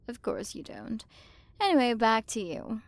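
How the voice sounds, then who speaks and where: A woman playing a haughty, curious alien galaxy queen in an ASMR roleplay, soft and condescending, possessive of her human. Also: on the high side, lively intonation, a little slow, no room sound. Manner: soft, condescending, teasing, at times whispered.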